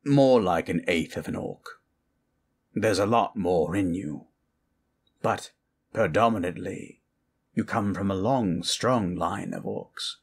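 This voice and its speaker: A man voicing a grave, learned monstrous elder in a fantasy audio roleplay, speaking in a gravelly, husky voice with a measured, formal manner. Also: sits low, full character voice. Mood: solemn, measured.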